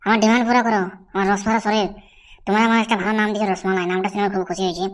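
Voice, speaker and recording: A woman voicing a bold, demanding, sharp-tongued village woman in a crude comedy cartoon, with a rural West Bengal accent. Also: mid-range voice, fairly even intonation, very fast, lightly acted. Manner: scolding, brazen, crude.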